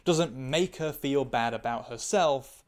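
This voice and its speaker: A woman's voice: rumbling low, slow, darkish tone. Candid woman giving scripted commentary. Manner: candid, opinionated, analytical. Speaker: video essayist.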